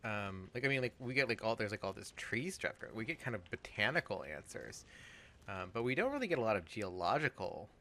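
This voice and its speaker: A man co-hosting a let's play, chatting casually and thinking aloud in a halting, musing way. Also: fairly low pitch, big pitch swings, bright, forceful tone. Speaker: let's play co-host playing the game. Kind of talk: casual game commentary chat.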